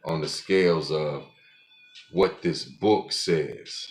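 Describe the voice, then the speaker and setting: A man's voice: extremely low pitch, slow. A man in his forties talking alone on his podcast in a blunt, profane, rambling way, often restarting his sentences. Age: 40s. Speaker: podcast host. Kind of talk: casual monologue.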